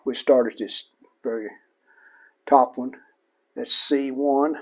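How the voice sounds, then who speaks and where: Gravelly man talking through a hands-on repair. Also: medium pitch, fairly even intonation, poor audio. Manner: unhurried, plainspoken, talking in short phrases while working. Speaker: host of a vintage electronics repair channel. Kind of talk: casual hands-on repair demonstration.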